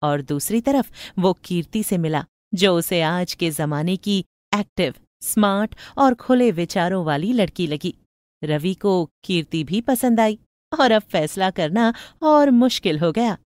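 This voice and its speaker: A woman's voice: fairly low pitch, animated, unhurried, darkish tone, no room sound. A woman narrating a moral bedtime story in a scripted storytelling style.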